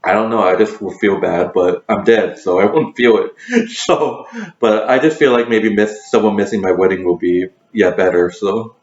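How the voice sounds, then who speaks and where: A man's voice: fairly low pitch, moderate pace. A man hosting a casual question game, talking with many fillers and restarts. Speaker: host. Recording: roomy, dull lo-fi sound.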